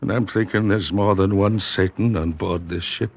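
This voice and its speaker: An actor playing a haunted, guilt-ridden, half-mad sea captain in a vintage radio drama, intense and melodramatic, with a rough voice. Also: male voice, low-pitched, steady tone, moderate pace, highs cut off, slight background noise. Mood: ominous, unhinged. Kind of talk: classic radio drama acting.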